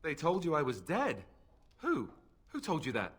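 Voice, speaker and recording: An actor playing an awkward, earnest, boyish young warrior in a video game, hesitant and prone to nervous babbling. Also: male voice, fairly high pitch, wildly swooping pitch, normal speed, a bit bright, breathy edge, heavily acted. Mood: shaken.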